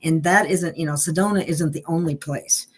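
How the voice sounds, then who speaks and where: A woman hosting a casual conversation, speaking haltingly with frequent pauses and restarts. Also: low voice, husky. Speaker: host. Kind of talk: casual chat.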